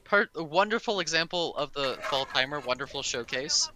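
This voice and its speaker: A man's voice: on the high side, lively intonation, moderate pace, sharp tone, low-quality recording. A man giving casual, joking co-commentary on a video game speedrun.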